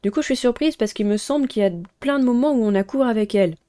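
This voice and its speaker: A woman hosts a visual novel playthrough. Her voice sits fairly high, yet its tone is very mellow and warm. She moves between narrating and commenting. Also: quick pace, smooth. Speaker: gaming streamer.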